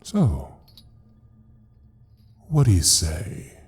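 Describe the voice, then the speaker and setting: Ancient demon lord, audio roleplay: low, very unhurried, mocking, theatrical menace. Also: male voice, huge pitch swings, a little mellow, exaggerated voice. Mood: menacing bargaining. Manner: theatrical, drawn-out, teasing, menacing. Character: ancient mocking male demon lord of hell, amused, cruel. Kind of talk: fantasy audio roleplay acting.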